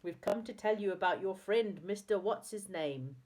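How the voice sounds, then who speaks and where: A woman's voice: mid-range voice, normal speed, a little mellow, lightly acted. A woman voicing a sensible, polite boy in a storybook, matter-of-fact and easily exasperated.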